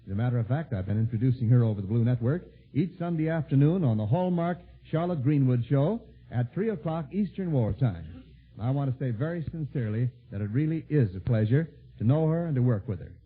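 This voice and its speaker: A man's voice: mid-range voice, lively intonation, fairly quick, breathy edge, narrow, tinny audio. A man announcing from a script in the style of 1940s American network radio. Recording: thin, band-limited 1940s radio broadcast.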